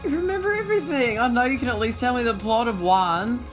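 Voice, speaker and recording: A man's voice: very high voice, fast, music in the background, voice pushed up. A man voicing a naive, affectionate male hero and best friend in a visual novel game voiceover, tender and gushing. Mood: delighted, coaxing.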